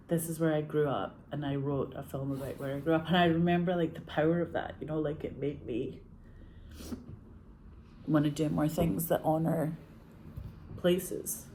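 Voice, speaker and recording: A woman's voice: low-pitched, dark tone, a little hiss or hum. A Scottish woman telling stories in a rambling, chatty way, laughing often and saying "like" a lot. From Scotland. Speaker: actress and filmmaker. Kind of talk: casual chat.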